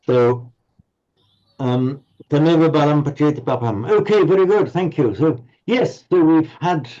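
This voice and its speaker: A man's voice: mid-range voice, mellow. A man teaching a Pali class online, explaining patiently and often stumbling over repeated words. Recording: slightly muffled audio.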